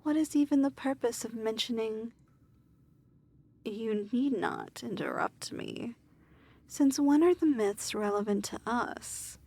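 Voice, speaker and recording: A woman playing a weary, imperious demon lord in an ASMR fantasy roleplay, speaking formally and softly with measured diction. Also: on the high side, expressive, gravelly, heavily acted. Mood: cold, disdainful.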